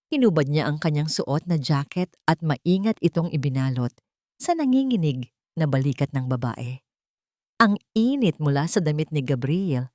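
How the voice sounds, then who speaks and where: Woman storyteller, solemn dramatic narration, slightly low voice, really dry sound. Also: a little mellow, no room sound.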